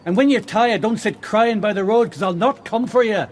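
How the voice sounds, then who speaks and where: An actor playing a teasing, impatient young suitor in a radio drama. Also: male voice, high-pitched, moderate pace.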